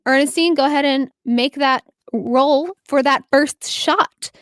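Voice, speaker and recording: A woman with a fairly high voice runs a tabletop role-playing game as game master. She narrates off the cuff in a casual way and encourages her players. The recording is close and dry. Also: a little slow, a bit bright, dry recording. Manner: encouraging, affirming players with short praise.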